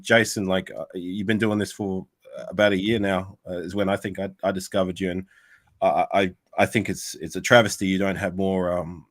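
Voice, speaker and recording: A man's voice: sits low, fairly bright tone, dry recording, clean studio sound. An Australian man chatting casually as a guest on a fan podcast, rambling with lots of restarts. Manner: rambling, full of restarts and fillers. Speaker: guest content creator.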